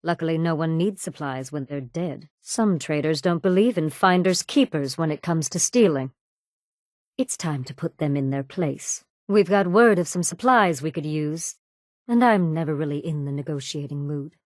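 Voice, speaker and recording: A woman's voice: sits low, lively intonation, moderate pace, darkish tone, dry recording, studio quality. A woman playing a ruthless, sadistic raider gang boss in a video game, speaking in a cool, sardonic, mockingly threatening manner. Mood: cold menace. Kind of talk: video game character dialogue.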